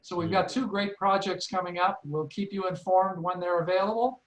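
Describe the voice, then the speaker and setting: A man's voice: sits high, steady tone, normal speed. A man speaking as the moderator of an online panel discussion. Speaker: seminar moderator and theatre producer. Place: indoor room, online seminar. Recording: roomy, echoing sound.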